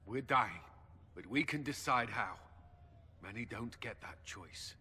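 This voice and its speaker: An actor playing a grim, resolute military commander in a video game, with firm, clipped, grave delivery. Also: male voice, medium pitch, lively intonation, measured pace, pushed and bright, slightly rough, exaggerated voice. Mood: grim urgency. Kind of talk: dramatic video game acting. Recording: long hall reverb, background ambience noise.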